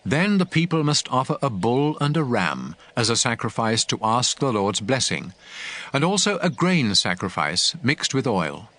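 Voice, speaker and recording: A man's voice: mid-range voice, very animated, moderate pace, a bit bright. An actor playing a commanding male prophet, solemn and declamatory, instructing his priests in a dramatized scripture reading. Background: music.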